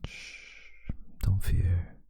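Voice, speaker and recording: A man voicing a possessive yet tender male vampire lover in an intimate, hushed ASMR roleplay, often slipping into a whisper. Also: no room sound, studio quality. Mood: tender, soothing. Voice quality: very deep, breathy, gravelly, often whispering. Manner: slow, hushed, soothing, seductive.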